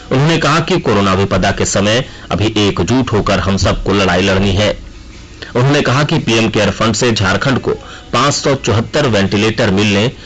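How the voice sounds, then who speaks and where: Husky low-pitched male news reader. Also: fairly bright tone, low-quality recording. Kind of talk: formal scripted news bulletin reading. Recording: regional radio broadcast.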